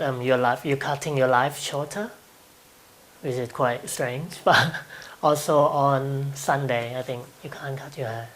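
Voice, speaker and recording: A man's voice: medium pitch, a bit bright. Young guy w thai accent, lots of ums, chatty interview answers. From Thailand. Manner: hesitant, with frequent ums and light laughs.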